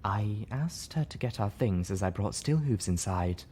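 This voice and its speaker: A man voicing a female pony, sorrowful and caring, softly spoken, in a story reading. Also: on the low side, animated, normal speed, breathy edge. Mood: morose. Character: refined female pony, sorrowful, caring, sharp when hurt.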